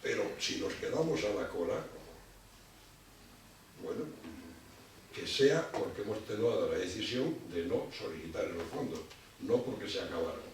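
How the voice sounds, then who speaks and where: Man, very low voice, halting lecturer who repeats himself, recorded from far off in a big echoey hall with noise. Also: lively intonation. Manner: halting, repeats words while thinking, explanatory. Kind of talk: public lecture. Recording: distant mic in an echoey hall with background noise.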